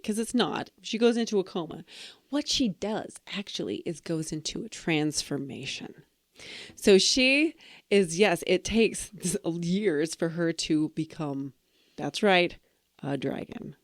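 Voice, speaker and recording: Rambling, playful woman podcaster, lower-pitched. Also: no echo, clean studio sound. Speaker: podcast co-host. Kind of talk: casual banter retelling fairy tales.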